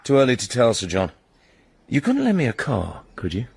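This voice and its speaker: An actor playing a serious, controlled secret agent with a British accent in a radio drama. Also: male voice, mid-range voice, huge pitch swings, moderate pace, creaky, darkish tone, slightly rough, exaggerated voice. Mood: brisk, businesslike.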